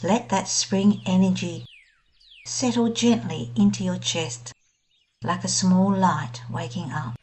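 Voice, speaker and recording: A woman guiding a scripted meditation in a gentle, soothing, unhurried manner. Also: lowish voice. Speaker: meditation guide. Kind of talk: scripted guided meditation narration.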